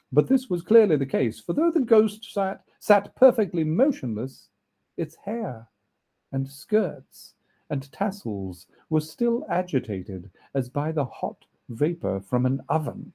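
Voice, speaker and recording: Expressive, mellow male storyteller. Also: on the high side, slow pace, husky. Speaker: audiobook reader. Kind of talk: expressive scripted story narration.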